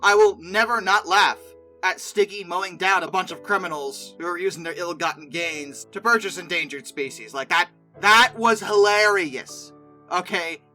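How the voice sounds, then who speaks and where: A host giving casual, opinionated commentary in an informal, chatty way, expressive and given to exasperated mock disbelief. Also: mid-range voice, moderate pace, soft background music. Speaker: video host and narrator.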